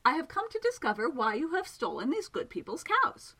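Prim polite gentleman magician, a lady putting him on, breathy, swinging tone. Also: fairly high pitch, wildly swooping pitch, brisk, full character voice. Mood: coaxing. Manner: precise, politely formal. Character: prim, even-tempered gentleman village magician.

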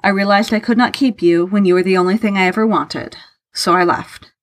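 A woman's voice: mid-range voice, steady tone, brisk, lightly acted. A woman voicing a guarded, bitter man who speaks in a curt, matter-of-fact, formal and sardonic way, as in an audio story. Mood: curt, exasperated. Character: Tharke, guarded, bitter, self-deprecating man hiding love.

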